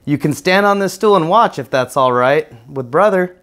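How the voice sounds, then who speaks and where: A man voicing a gentle, reassuring male bear dentist, calmly explaining things in a children's storybook. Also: a little high, normal speed, pitched above the performer's own voice. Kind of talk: children's storybook read-aloud.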